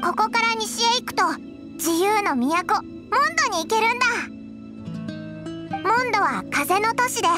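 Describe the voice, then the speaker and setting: A small, cheerful fairy companion from an anime game. The voice is extremely high and childlike, with cute but boyish phrasing, eager to guide. Music plays clearly underneath. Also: female voice, lively intonation, normal speed. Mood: explaining, proud. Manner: rough boyish wording in a cute voice. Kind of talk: Japanese anime game voice acting.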